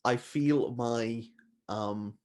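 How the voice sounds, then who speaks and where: A man co-hosting a casual book chat, self-deprecating and quick to laugh. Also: mid-range voice, darkish tone. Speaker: booktuber co-host.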